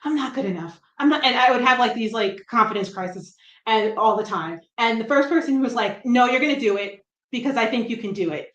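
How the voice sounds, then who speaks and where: A woman's voice: on the high side, lively intonation, a little fast, few pauses, a bit bright, dull audio. Chatty woman with a light Brooklyn accent. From New Jersey. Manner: chatty, self-deprecating, laughs often. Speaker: guest comic writer. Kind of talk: casual interview chat. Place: room at home. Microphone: video call. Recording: roomy echo on a video call.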